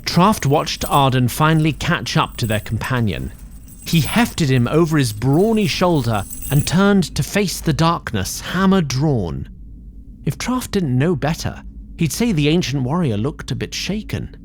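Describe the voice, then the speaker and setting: A man giving scripted narration for a fantasy audio drama in a measured, theatrical storytelling manner. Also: on the high side, very animated, a little hiss or hum. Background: music.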